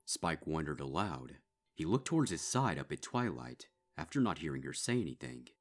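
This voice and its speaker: Very deep-voiced man narrating horror. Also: big pitch swings, moderate pace. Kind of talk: dramatic scripted horror story narration. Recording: music behind.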